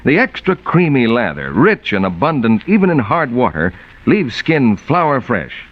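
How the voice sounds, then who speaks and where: Animated vintage male radio announcer. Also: medium pitch, normal speed. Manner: polished, emphatic salesmanship. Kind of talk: 1940s scripted commercial announcing and narration. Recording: muffled vintage 1940s radio broadcast.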